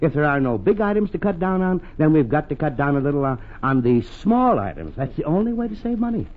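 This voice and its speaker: A man's voice: a little high, very animated, fairly quick. An actor playing an exasperated, penny-pinching middle-aged American husband and father in vintage radio comedy style. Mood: indignant, determined. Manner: blustery, emphatic. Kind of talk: 1940s-50s radio comedy acting. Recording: tinny old radio broadcast recording.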